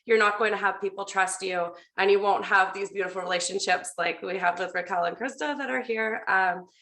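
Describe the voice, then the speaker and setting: A woman's voice: mid-range voice, steady tone, a little fast, bright, forceful tone. A woman hosting a casual group call, talking in an upbeat, chatty and conversational way. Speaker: host of a team video call.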